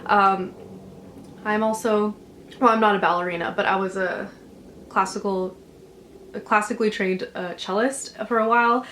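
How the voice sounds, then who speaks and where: Casual host, young woman, talks loose and gentle with plenty of filler. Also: mid-range voice, steady tone, moderate pace, long pauses, slight background noise, poor audio. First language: English. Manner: chatty, filler-heavy, gently reassuring. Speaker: channel host. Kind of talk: casual chat, reading subscriber stories.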